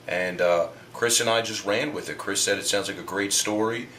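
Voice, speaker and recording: Deep-voiced man in an interview. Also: normal speed, sharp tone. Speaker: comic book artist. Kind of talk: documentary interview answers. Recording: faint background noise.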